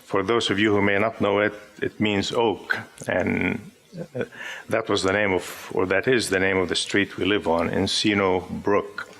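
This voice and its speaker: A man in his fifties from Syria, an Arabic speaker, reading his poems aloud to a live audience in a gentle, measured way. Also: low-pitched, flat delivery, gravelly. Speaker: poet. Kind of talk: poetry reading with spoken introductions. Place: live gathering before an audience.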